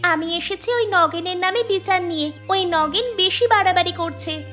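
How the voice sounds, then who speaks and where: A defiant little village girl for a cartoon. The voice is extremely high, indignant and headstrong, with faint music behind it. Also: moderate pace, pushed and bright. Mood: complaining. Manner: defiant, complaining. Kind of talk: Bengali cartoon story dialogue.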